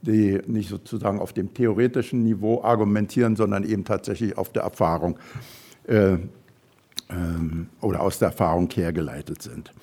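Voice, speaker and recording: A man's voice: on the low side, steady tone, normal speed, gravelly, mellow, slightly rough. An older man from Berlin giving an invited talk at an evening event, speaking thoughtfully with frequent fillers and restarts. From Berlin, Germany. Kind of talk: lecture talk.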